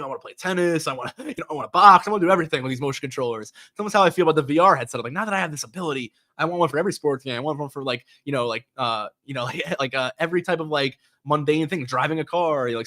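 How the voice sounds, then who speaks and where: A man's voice: mid-range voice, fairly bright tone. A young man hosting a gaming news show, chatting loosely with many fillers and restarts, sounding nasal from a cold. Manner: rambling, lots of fillers and restarts. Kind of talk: casual solo commentary.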